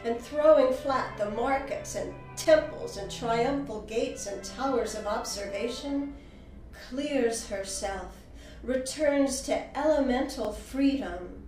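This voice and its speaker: A woman playing a reflective poet looking back on her youth, narrating in a measured, solemn, lyrical way. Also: a little high, a little mellow. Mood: exalted. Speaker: audiobook performer. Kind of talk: dramatic poetic audiobook narration. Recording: roomy, distant microphone, music behind. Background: music.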